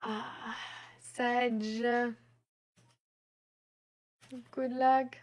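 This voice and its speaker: A woman's voice: a little high, little pitch movement, very slow, a little mellow. A woman livestreamer chatting casually with her viewers in a hesitant, rambling way that often trails off. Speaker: live streamer.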